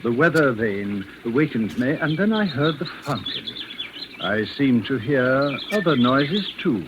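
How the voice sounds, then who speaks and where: An actor playing a deferential, slyly menacing manservant in a 1940s radio drama, speaking in a formal, measured, obsequious way. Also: male voice, mid-range voice, very animated, highs cut off. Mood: smoothly insinuating. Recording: noisy vintage 1940s radio recording.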